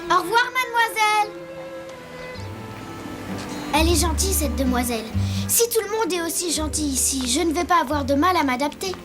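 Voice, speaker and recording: High childlike voice, naive young elephant, cartoon dialogue, earnest, polite, loud music underneath. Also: animated, moderate pace, long pauses. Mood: polite, cheerful. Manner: earnest, innocent, wondering.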